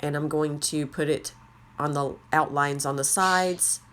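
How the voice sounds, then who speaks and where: Low-voiced, slightly raspy woman crafter. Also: fairly even intonation, unhurried, a bit bright, slight background noise. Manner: chatty, rambling, self-deprecating. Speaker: craft tutorial host. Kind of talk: casual how-to narration.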